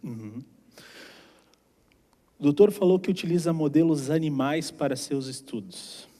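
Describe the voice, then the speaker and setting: A man's voice: fairly high pitch, expressive, a little slow, mellow. A man moderating a lecture, reading audience questions aloud and adding brief affirmations. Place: theater auditorium. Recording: long hall reverb in theater.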